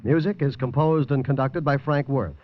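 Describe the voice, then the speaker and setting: A man reading the closing credits as a radio announcer in a crisp, formal delivery. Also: mid-range voice, normal speed, no room sound. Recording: dry, dull 1950s radio broadcast.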